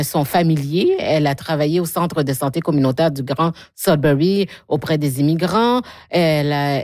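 A woman's voice: low-pitched, darkish tone, no room sound, studio quality. A woman hosting a radio interview, introducing her guest and asking leading questions. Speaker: radio host.

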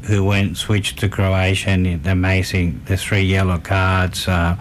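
A man's voice: deep voice, level tone, measured pace, a little hiss or hum. A man co-hosting a casual football chat, who jumps in to finish his partner's sentences. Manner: chips in to finish the other's sentences. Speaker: co-host.

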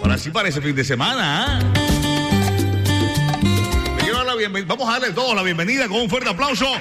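A man hosting a radio interview from the studio, upbeat and playful, quick to laugh. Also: sits high, expressive. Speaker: radio host. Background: loud salsa music.

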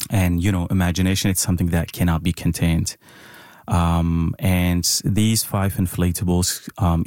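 Deep-voiced Syrian man, halting speech. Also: darkish tone, no room sound, clean studio sound. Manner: hesitant, halting, searching for words. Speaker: visual artist, interview guest. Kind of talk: conversational interview answers.

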